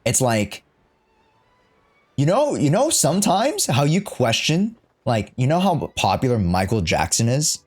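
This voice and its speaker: A man's voice: medium pitch, huge pitch swings, measured pace, slightly rough. A man doing casual live-stream commentary on a game, rambling and reading the dialogue aloud as he goes. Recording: game music behind the voice. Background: game music and dialogue.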